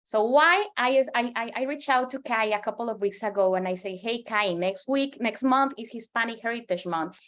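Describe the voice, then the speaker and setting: A woman's voice: on the high side, normal speed, hardly any pauses, fairly bright tone. Colombian woman giving an earnest talk. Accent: Colombian Spanish. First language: Spanish. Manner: earnest, frequent restarts and repeated words. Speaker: market researcher presenting. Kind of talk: presentation to a professional audience.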